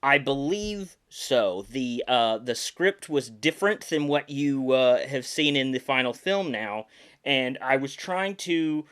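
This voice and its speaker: A man's voice: medium pitch, a bit bright. A man in his thirties hosting a podcast, telling behind-the-scenes stories casually with many ums and restarts. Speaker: podcast host, puppeteer.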